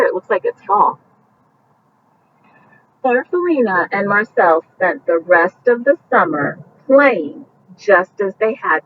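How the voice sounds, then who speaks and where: A woman reads a bedtime picture book at a slow pace. She pauses for casual, gentle asides about the pictures. The recording is muffled and picked up from some distance, with faint noise behind it. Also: mid-range voice. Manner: gentle explaining, pointing out pictures as to young children. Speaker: storybook reader.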